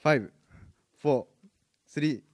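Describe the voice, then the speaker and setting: A man's voice: a little high, normal speed, dry recording. A young man co-hosting a podcast, reacting to his friends in casual chat. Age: young adult. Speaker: podcast co-host.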